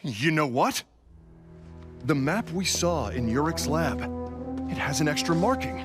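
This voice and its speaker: A man playing a wry, worldly adventurer in a video game, self-dramatizing, with droll pauses. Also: a little high, animated, over loud music. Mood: musing. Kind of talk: video game cutscene acting.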